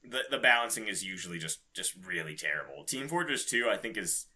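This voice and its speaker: Guy on a gaming podcast, kinda low voice but super bright and forceful, casual, laughs a lot. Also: animated, fairly quick. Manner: laughs readily while talking. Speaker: podcast co-host.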